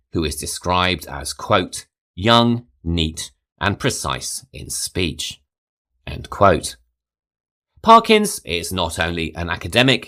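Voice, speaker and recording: A man hosting a podcast, reading a scripted, measured narration. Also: low-pitched, sharp tone. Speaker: podcast host.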